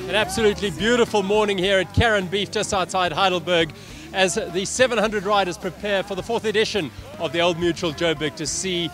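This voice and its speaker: A man's voice: sits high, fairly quick, over music. Upbeat man narrating. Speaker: race narrator. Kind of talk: scripted documentary narration.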